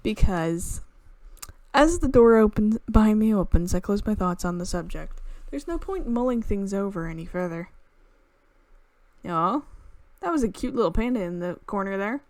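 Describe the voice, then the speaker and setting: A woman streamer reading a visual novel aloud in an expressive storytelling way, with asides. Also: mid-range voice, moderate pace, no echo. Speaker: video game streamer. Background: game music.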